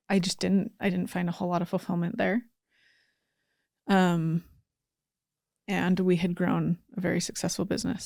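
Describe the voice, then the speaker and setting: A woman speaks as a podcast guest in a fairly low voice at a very slow pace. She is earnest and casual, often pausing mid-thought. The recording is dry and free of room sound. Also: vocal fry, darkish tone, no echo. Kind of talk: casual heartfelt talk.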